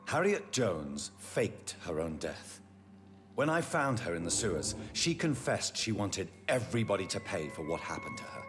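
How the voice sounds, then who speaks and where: An actor playing a grave, righteous gentleman vampire doctor in a video game, stern and formal as he interrogates. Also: sits low, sing-song, moderate pace, over music. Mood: grave, probing. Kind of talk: dramatic video game cutscene acting.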